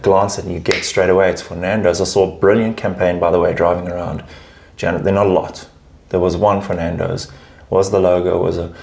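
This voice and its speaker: Low gravelly man. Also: a little mellow. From South Africa. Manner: emphatic, repeats words while finding phrasing. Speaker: guest, advertising research lead. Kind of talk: casual interview chat.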